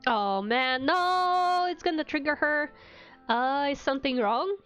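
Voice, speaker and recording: High-voiced, unhurried woman streaming a game. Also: fairly bright tone. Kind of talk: casual live game stream commentary. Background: faint game music.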